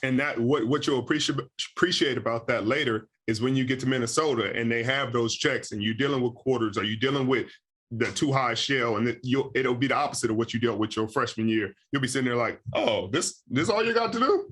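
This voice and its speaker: A man in his thirties hosting a podcast interview, talking in a relaxed, slangy way with lots of "you know.". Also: mid-range voice, brisk, husky. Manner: laid-back, slangy, frequent "you know". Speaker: podcast host. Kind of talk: casual interview chat.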